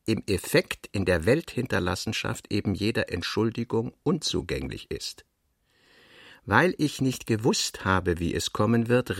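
Low-voiced brooding novelist. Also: male voice, vocal fry, fairly bright tone, heavily acted. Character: brooding male novelist reading his own self-critical working notes. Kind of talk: literary radio play monologue, reading notes.

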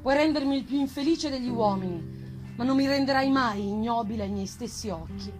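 A woman's voice: fairly high pitch, a little fast, lightly acted. A woman voicing a tormented, defiant male scientist with solemn, emphatic delivery in a gothic novel reading. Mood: indignant refusal. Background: live plucked guitar music.

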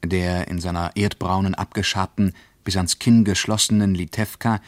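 A man's voice: low-pitched, steady tone, moderate pace, dry recording. A man reading diary passages in a radio play with vivid, intense storytelling.

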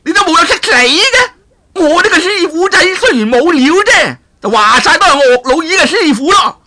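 Bragging villain, squawking, shouting, very high, wildly varied. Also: male voice, big pitch swings, normal speed, full character voice. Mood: indignant. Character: brash loud-mouthed male villain, boastful, quarrelsome.

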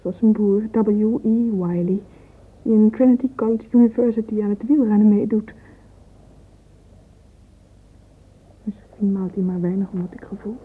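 An actress playing a dreamy, lovesick young woman in a radio play, thinking aloud in a husky, yearning voice. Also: mid-range voice, fairly even intonation, fairly quick, lightly acted. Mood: wistful, hurt. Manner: wistful, yearning. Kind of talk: radio drama interior monologue. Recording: muffled, phone-like radio play recording.

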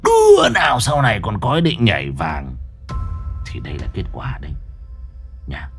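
Cruel fat henchman, sneering, hissing, swaggering, lively jumpy voice, as a storyteller plays him. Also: fairly low pitch, normal speed, creaky, faint music behind, full character voice. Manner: sneering, hissing through teeth, boastful.

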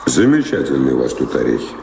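An actor playing a world-weary wandering singer, calm, dreamy and detached, in a radio drama. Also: male voice, extremely low pitch, fast talker, full character voice. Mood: calm evasion. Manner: detached, musing, understated. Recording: echoey hall with clear background noise.

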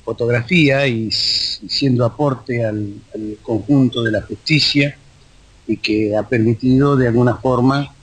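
A man speaking haltingly as an interview guest in casual conversation. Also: medium pitch, fairly even intonation, slightly rough, no echo, rough recording. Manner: halting, hesitant phrasing.

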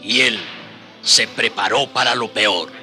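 A man's voice: lowish voice, measured pace. A man narrating a scripted radio drama as storyteller. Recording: music under the voice. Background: music.

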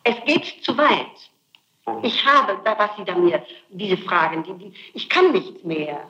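A woman's voice: mid-range voice, big pitch swings, measured pace, fairly bright tone. A woman answering as an accused suspect under interrogation, insistent and emphatic, repeating her denials. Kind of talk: interrogation testimony. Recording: muffled echoey archival interrogation tape.

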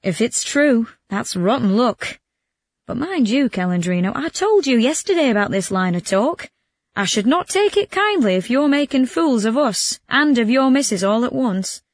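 A woman's voice: fairly high pitch, sing-song, normal speed, no room sound, pitched above the performer's own voice. A woman voicing a cunning male trickster in a storybook, goading and mock-doubting. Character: sly, mocking male prankster, egging on his victim.

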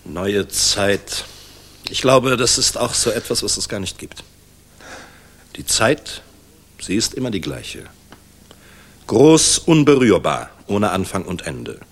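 An actor playing a world-weary, middle-aged general in a 1960s German radio play, speaking in a measured, solemn, reflective manner. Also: male voice, lowish voice, sing-song, exaggerated voice. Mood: solemn, rising.

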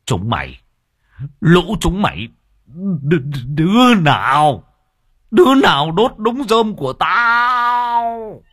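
A man's voice: high voice, big pitch swings, slow pace, pauses often, husky, voice pushed up, heavily acted. A man playing a furious cursing old villager. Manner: stammering, yelling insults.

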